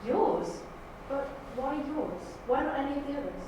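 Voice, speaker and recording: A woman's voice: high-pitched, animated, moderate pace, pitched above the performer's own voice. A woman auditioning as an earnest, formal Time Lord's daughter in a dramatic performance. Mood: worried, questioning. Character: proud, anxious daughter of an alien Time Lord. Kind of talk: audition improv and dramatic monologue. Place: university room. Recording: echoey room, distant mic, faint noise.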